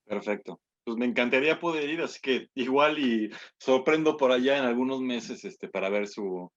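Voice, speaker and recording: A man's voice: mid-range voice, normal speed, lo-fi sound. A man hosting a show and interviewing in a casual, conversational way. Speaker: radio show host.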